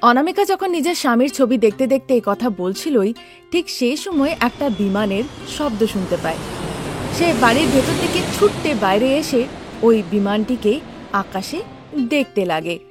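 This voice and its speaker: A woman's voice: on the high side, lively intonation, moderate pace, music in the background. A woman narrating a scripted story as a storyteller.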